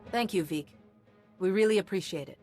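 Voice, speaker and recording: Lady as kind composed game heroine, soft music behind. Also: medium pitch, brisk, close to an everyday voice. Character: kind, composed ally of the Jedi. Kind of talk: video game voice acting. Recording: background music.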